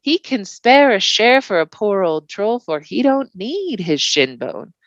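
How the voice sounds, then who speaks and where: A woman's voice: medium pitch, very animated, measured pace, no room sound, lo-fi sound. Woman playing a gloating, singsong old troll. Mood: mocking. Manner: rustic, taunting singsong rhyme. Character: greedy, gloating old male troll in comic verse.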